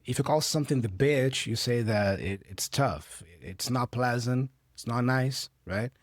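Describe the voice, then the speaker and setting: Man, Brazilian Portuguese accent, English teacher, patient, explanatory, medium pitch, dry sound. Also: a little slow, no echo. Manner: patient, explanatory, checks in with "right?". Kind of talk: explaining a clip for learners.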